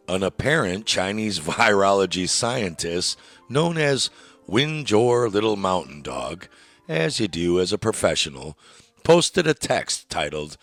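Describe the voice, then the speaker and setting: A man's voice: low voice, fairly bright tone, no echo. A man narrating a documentary in a scripted, wry and sardonic way, with dry asides.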